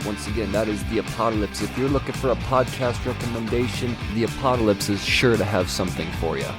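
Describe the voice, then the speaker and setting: A man giving a casual promotional read with laid-back slangy hype, rhetorical questions and repetition. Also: mid-range voice. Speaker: podcast promoter.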